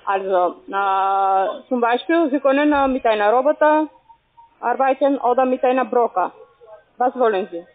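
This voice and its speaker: A non-native speaker makes a halting sales call, often pausing to find words. The sound is thin and tinny, squeezed through a phone line. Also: mid-range voice. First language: not German. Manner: hesitant, searching for words. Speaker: call center trading agent. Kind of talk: halting telephone sales talk.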